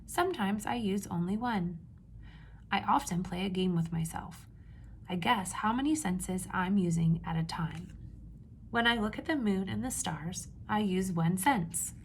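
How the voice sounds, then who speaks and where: Slow-paced woman reading aloud to young children. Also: mid-range voice, a little hiss or hum. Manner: instructive, asks simple questions and pauses before confirming answers. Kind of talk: children's read-aloud with questions to the listener.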